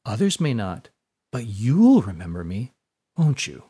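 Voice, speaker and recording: A man's voice: lowish voice, sing-song, unhurried, mellow, husky, full character voice. Man as a terse, wry schoolboy. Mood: wry, defiant. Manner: terse, understated. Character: quietly defiant, wry schoolboy.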